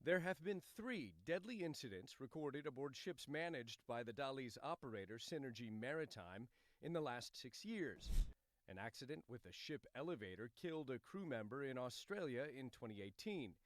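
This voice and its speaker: Lively-pitched man reading news narration. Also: no room sound. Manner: measured, informative.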